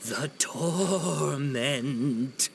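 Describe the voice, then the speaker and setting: A man voicing a theatrical spooky oni performer in a video game, a hammy fellow playing at haunting people, with a creaky voice. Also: mid-range voice, extremely slow, slight background noise. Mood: moaning menace.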